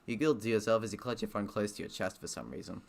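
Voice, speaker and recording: A man's voice: on the low side, fast talker, vocal fry. A man streaming a game, joking and swearing casually as he comments and reads its text aloud. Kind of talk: casual gaming commentary, reading visual novel text aloud.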